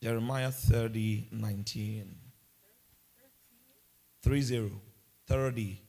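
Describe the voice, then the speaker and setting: A man's voice: on the low side, steady tone, slow pace, mellow. A man from Ghana with a Ghanaian accent, preaching a sermon and mixing in humorous asides. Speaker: pastor.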